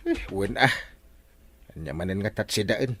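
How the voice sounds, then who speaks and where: A man playing an excitable, blustering village man with an Ilocano accent in a radio comedy drama. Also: fairly low pitch, normal speed. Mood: excited.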